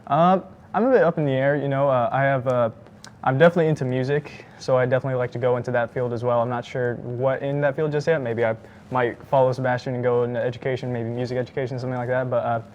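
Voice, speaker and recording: A teenage boy, a high school student being interviewed, who hedges a lot with "I mean" and "you know.". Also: steady tone, a little mellow, lo-fi sound, a little hiss or hum. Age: teens. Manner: rapid, hedging, lots of "I mean" and "you know".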